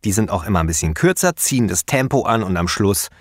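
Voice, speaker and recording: Male poet-host, low voice, chatty explainer, dry recording. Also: quick pace, no echo. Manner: chatty, relaxed, with frequent fillers. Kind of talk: explanatory podcast monologue.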